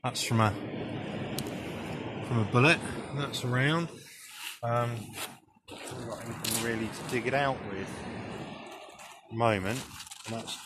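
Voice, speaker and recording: British man musing amid outdoor noise. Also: mid-range voice. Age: middle-aged. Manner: halting, musing as he searches. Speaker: vlogger. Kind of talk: casual off-the-cuff commentary while exploring. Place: outdoors on a beach below cliffs.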